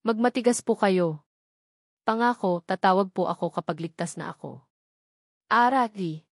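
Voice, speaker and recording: A woman voicing a young woman who speaks politely to her father and forces her voice to stay steady in an audio drama. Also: medium pitch, moderate pace, no room sound, studio quality, lightly acted. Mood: tender. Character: young woman fleeing home, brave and tender.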